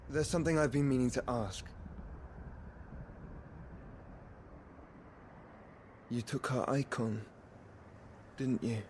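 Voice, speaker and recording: A man playing an earnest, protective young companion in a cinematic video game, speaking with measured intensity. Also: medium pitch, a little mellow, slight background noise, natural voice. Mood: guarded, probing.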